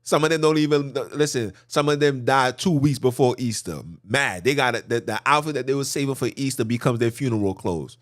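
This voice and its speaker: A man hosting a podcast, preaching a monologue with animated, emphatic delivery, often jumping high in pitch and swinging between loud and hushed. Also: a little fast, few pauses. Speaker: podcast host.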